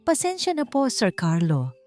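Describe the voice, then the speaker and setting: A woman's voice: on the high side, huge pitch swings, a little slow, darkish tone, soft background music, voice pushed up. A woman voicing an anxious, humble young housekeeper in an audiobook drama, speaking hesitantly and deferentially with a trembling voice. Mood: fearful.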